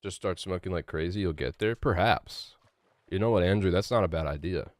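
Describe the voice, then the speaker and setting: Laid-back young man with a low voice. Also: a little fast, darkish tone, no echo, studio quality. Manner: rambling, filler-heavy, laid-back. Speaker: streamer. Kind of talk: casual livestream chat while gaming.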